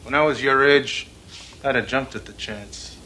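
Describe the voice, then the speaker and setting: An actor playing a calm, needling older master pool player in an American accent, speaking in a measured, deliberate way for a television drama. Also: male voice, mid-range voice, a little hiss or hum, lightly acted. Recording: television soundtrack with music behind.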